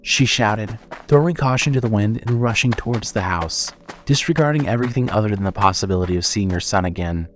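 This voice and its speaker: Low-voiced man narrating horror. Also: expressive, a little fast, a little hiss or hum. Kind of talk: scripted horror story narration.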